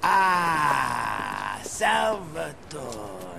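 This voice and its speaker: An actor playing a grave old Sicilian mafia elder in a video game, gravelly, measured and solemn. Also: male voice, a little high, very animated, noisy background, full character voice. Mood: solemn, satisfied. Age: older. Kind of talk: video game cutscene acting.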